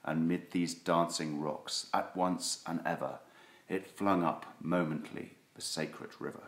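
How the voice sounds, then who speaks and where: A man giving a solemn, theatrical recitation of classic poetry, with deliberate phrasing. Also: low voice, fairly even intonation. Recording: roomy, echoing sound.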